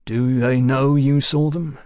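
A stern policeman from a storybook played by a male narrator. He is sceptical and watchful, giving dry, curt warnings in a medium-pitched voice. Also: steady tone, takes it slowly, a little mellow, no echo, lightly acted. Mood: sharp, wary. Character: wary, gruff policeman companion, stern and sceptical.

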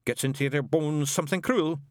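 A man doing a gruff old sergeant. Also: fairly high pitch, fairly quick, no echo, clean studio sound, pitched above the performer's own voice, natural voice. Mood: wistful. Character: old male Scottish witchfinder sergeant, gruff and zealous.

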